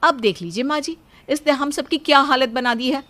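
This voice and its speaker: A woman's voice: high voice, moderate pace, pushed and bright, pitched above the performer's own voice. A woman voicing a sulky, sarcastic elder daughter-in-law who complains and taunts in a cartoon family story. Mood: resentful. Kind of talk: cartoon moral story acting.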